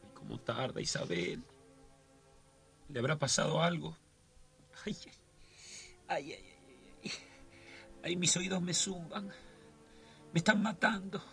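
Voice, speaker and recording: A man playing a paranoid, tormented, easily terrified character in a radio melodrama, with an anguished, halting delivery. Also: a little high, creaky, pushed and bright, heavily acted. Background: music.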